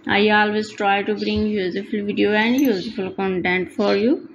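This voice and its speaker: A woman's voice: medium pitch, steady tone, normal speed, highs cut off, a little hiss or hum. A woman hosting her own channel, talking over a slideshow in simple non-native English, promoting each idea with eager, repetitive praise. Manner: repetitive, enthusiastic promotional phrasing. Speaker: channel host.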